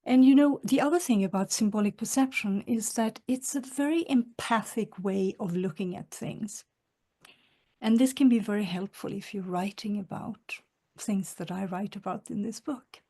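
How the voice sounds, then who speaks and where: A woman from northern Sweden, an author giving a reflective webinar talk, soft-spoken and halting with many fillers, over a video call. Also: mid-range voice. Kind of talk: reflective lecture with read quotations.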